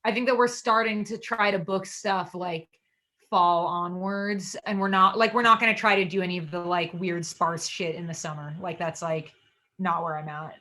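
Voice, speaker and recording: A woman who leads a band, chatting casually as a podcast guest, rambling with lots of "like" fillers and quick laughs. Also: fairly low pitch, fairly even intonation, bright, forceful tone. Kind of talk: casual chat.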